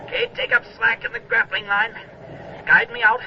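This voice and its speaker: A high-voiced, terse diver. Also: male voice, level tone, moderate pace, far from the mic. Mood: straining. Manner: clipped, loud reporting. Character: terse, tough deep-sea diver reporting by phone. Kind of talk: 1940s radio drama acting. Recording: muffled lo-fi radio recording, noisy background.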